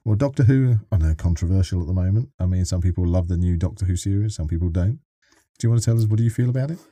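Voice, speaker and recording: A man's voice: low-pitched, very fast, dark tone, no room sound. An older man chatting casually as a podcast interviewer, talkative and given to teasing his guest. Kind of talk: casual interview chat about sci-fi.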